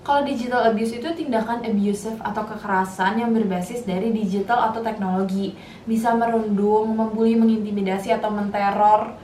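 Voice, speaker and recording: A woman's voice: mid-range voice, steady tone, brisk, mellow. An eighteen-year-old female student, giving her views in a casual discussion. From Indonesia. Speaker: university student. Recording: echoey room, distant mic, faint noise.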